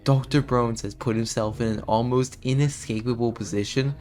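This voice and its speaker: A man's voice: mid-range voice, fairly even intonation, normal speed, darkish tone. A man giving scripted commentary on a horror series in an emphatic, dramatic storytelling way. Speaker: video commentator. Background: background music.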